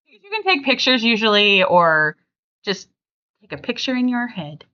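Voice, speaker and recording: Bright, animated woman in casual podcast chat. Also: on the high side, moderate pace, dull audio. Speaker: podcast host.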